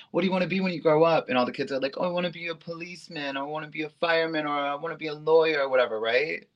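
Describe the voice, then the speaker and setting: A man's voice: fairly high pitch, dull audio. Chatty man full of likes and laughs. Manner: loose and animated, with filler "like" and frequent laughter. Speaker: interview guest, aerialist and go-go dancer. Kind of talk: casual storytelling chat.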